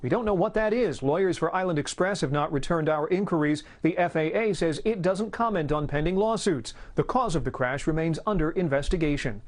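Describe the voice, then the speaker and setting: An American man works as a TV news reporter, reading a scripted report. His voice sits a little high for a man, and the recording is dry and close. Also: lively intonation, brisk, hardly any pauses, no room sound. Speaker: investigative TV reporter.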